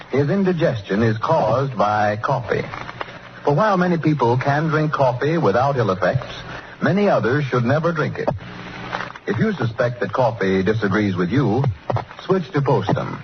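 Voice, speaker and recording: A man's voice: mid-range voice, noisy background. A male radio announcer delivering scripted 1930s commercial copy and sign-offs. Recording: dull 1930s radio recording, music behind.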